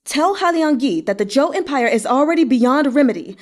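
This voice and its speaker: A woman's voice: high voice, animated, normal speed, pitched above the performer's own voice. A woman voicing a proud, furious old male scholar in an impassioned, haughty storybook read-aloud. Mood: haughty defiance. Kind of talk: dramatic read-aloud of a historical novel.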